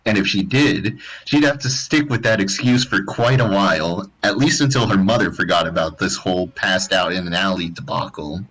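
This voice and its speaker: A man's voice: deep voice, normal speed, few pauses, a bit bright, slightly rough, poor audio. A man narrating fan fiction as a live dramatized comedic reading.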